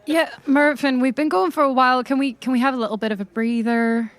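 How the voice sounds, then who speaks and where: A woman's voice: high voice, steady tone, fairly quick, no echo, natural voice. A woman playing a casual, teasing friend in a comedy audio drama, chatty and natural.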